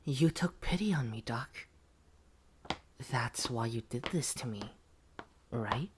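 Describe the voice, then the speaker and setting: A woman playing a betrayed test subject in a horror audio roleplay, taunting and pleading intimately, with a husky voice and whispered phrases. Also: very deep voice, lively intonation, slow pace, background noise, full character voice. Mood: conflicted, pleading. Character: betrayed test subject, wounded, admiring, menacingly sweet young woman.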